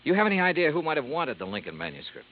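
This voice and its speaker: Wry, cool insurance detective. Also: male voice, fairly high pitch, wildly swooping pitch, racing. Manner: dry, laconic, easygoing. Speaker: narrator and lead detective. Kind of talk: 1950s radio drama acting and narration. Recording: tinny lo-fi old radio broadcast with faint hiss.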